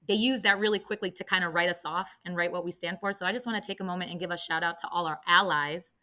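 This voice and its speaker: Bright, lower-pitched woman on a panel. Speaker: panelist, women veterans advocate. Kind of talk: conversational panel discussion.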